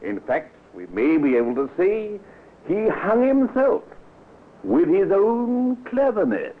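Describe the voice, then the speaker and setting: An actor playing a shrewd, cautious police inspector in an old radio drama, dry and politely probing, on a muffled, tinny recording. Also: male voice, high-pitched, wildly swooping pitch, takes it slowly, slight background noise, heavily acted. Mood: methodical. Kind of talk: old-time radio acting.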